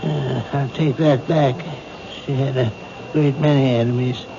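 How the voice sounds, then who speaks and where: An actor playing a weary, grieving widower in a 1970s radio drama, halting and reluctant. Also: male voice, medium pitch, steady tone, creaky. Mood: grieving, bitter. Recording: noisy, dull old radio recording.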